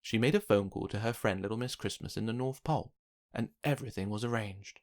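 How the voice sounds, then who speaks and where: A man narrating a children's picture book, reading aloud with expressive, dramatic emphasis. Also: fairly low pitch, fairly quick. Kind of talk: scripted storybook narration for children.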